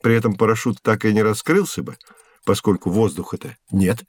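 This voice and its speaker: An actor playing a patient, kindly physics professor who explains and gently corrects in a scripted educational audio drama. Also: male voice, on the low side, a little fast, slightly rough, dry recording. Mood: patiently explaining.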